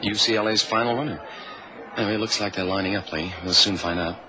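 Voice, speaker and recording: A man's voice: fairly low pitch, fast talker, a crowd in the background, background noise, natural voice. An actor playing an investigator's casual, observant male sidekick in a radio drama, with informal questions and reports.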